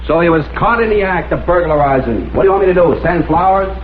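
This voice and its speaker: A man's voice: on the high side, expressive, fast, slight background noise, close to an everyday voice. An American man playing a tough, wry freelance photographer in a 1950s TV crime drama, terse and sardonic. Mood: sardonic, dismissive. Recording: tinny, band-limited vintage TV soundtrack with music.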